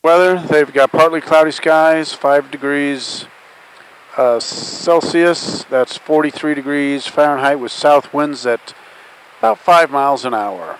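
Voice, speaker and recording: A man's voice: fairly high pitch, rough recording. A man, an airline captain, making an informally phrased announcement from the flight deck over the PA. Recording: faint aircraft noise over PA. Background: faint aircraft drone.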